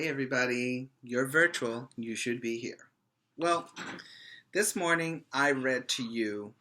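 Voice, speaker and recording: A male preschool teacher with a bright, forward voice and a mid-range pitch. He takes his time, speaking very slowly and deliberately. His delivery is expressive, in the manner of a picture-book read-aloud for young children. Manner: addresses young children directly, simple deliberate phrasing.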